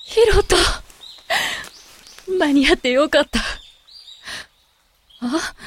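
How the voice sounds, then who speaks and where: A woman's voice: high-pitched, animated, drawn-out words, heavily acted. A woman playing a proud, resolute werewolf woman who speaks bluntly and coolly in a Japanese amateur voice drama. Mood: curt. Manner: blunt, masculine phrasing, cool.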